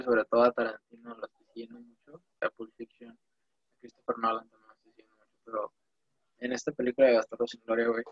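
Man, young adult, Mexican accent, casual chat, slangy, digressive, medium pitch, muffled dry sound. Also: vocal fry, slightly rough, dry recording. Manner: slangy, digressive, animated storytelling.

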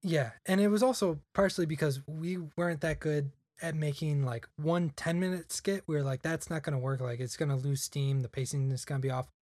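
A man's voice: fairly high pitch, fairly even intonation, fairly quick, few pauses, a little mellow, dry recording. A young man chatting casually on a podcast, rambling with lots of "like" fillers. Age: young adult. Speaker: podcast guest. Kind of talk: casual chat.

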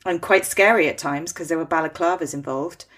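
Brief: woman podcast host, casual and playful, fond of corny jokes, a touch low-pitched. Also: a little fast, fairly bright tone. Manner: chatty, corny jokes, laughs easily. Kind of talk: casual conversational interview.

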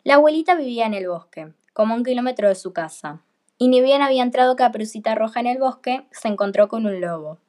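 Higher-voiced woman narrating a fairy tale. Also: lively intonation, quick pace, a little mellow. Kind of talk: scripted storybook narration for radio play.

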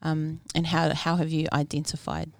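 A woman's voice: low voice, monotone, normal speed, vocal fry, dry recording, studio quality. A woman hosting a podcast in an upbeat, casual manner. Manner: upbeat, friendly hosting with filler words. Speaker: podcast host. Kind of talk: casual chat.

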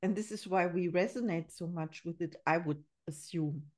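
A woman's voice: lowish voice, normal speed. A woman in her forties, a German native speaking English, hosting an interview podcast in an earnest way, often repeating words as she thinks. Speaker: podcast host. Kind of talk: casual interview.

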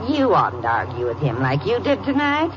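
Old radio drama, noisy sound: folksy Southern farm wife, middle-aged, chatty, fussing, very lively pitch. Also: female voice, normal speed, lo-fi sound. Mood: gently scolding. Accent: rural Southern American. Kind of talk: 1940s radio drama acting.

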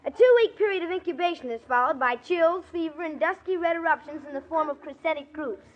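A woman playing a precocious, matter-of-fact young boy in a 1940s radio comedy, deadpan and pedantic. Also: extremely high pitch, fast talker. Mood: pedantic, exasperated. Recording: dull old radio recording.

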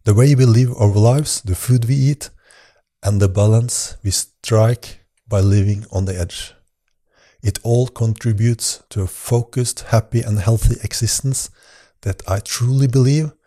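A man delivers a scripted podcast monologue as its host. His voice sits low and sounds mellow and relaxed. He speaks in an earnest, measured way. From Norway.

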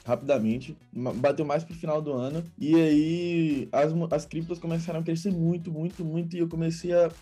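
A man's voice: on the high side, fairly even intonation, normal speed, few pauses, dark tone, faint music behind. A man of about twenty with a Brazilian accent, explaining things casually as an interview guest in a rambling, informal way. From Salvador, Bahia, Brazil. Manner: informal, rambling, quoting himself in dialogue. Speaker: interview guest, crypto investor.

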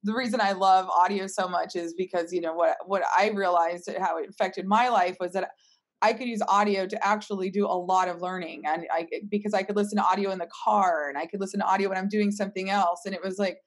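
A woman's voice: medium pitch, steady tone, fast talker. A woman guest answering in a casual interview, explaining things in an emphatic, conversational way. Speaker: podcast guest, company team member.

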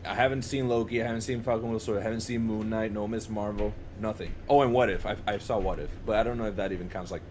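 A man's voice: fairly low pitch, steady tone, racing, hardly any pauses, darkish tone, slight background noise. A young man chatting casually and enthusiastically with friends as a podcast co-host, often restarting and repeating himself mid-thought. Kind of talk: casual chat among friends.

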